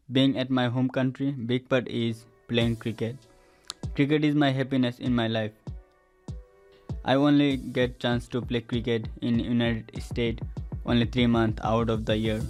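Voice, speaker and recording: A man with a Bangladeshi accent reads a short personal story in English. His sentences are simple and come in halting steps, at an even middle pitch. Soft music plays faintly behind him.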